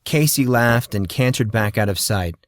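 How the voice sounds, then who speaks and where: A man's voice: fairly low pitch, moderate pace, dry recording. Man narrating a story, dry close sound. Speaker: audiobook narrator. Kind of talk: scripted fantasy story narration.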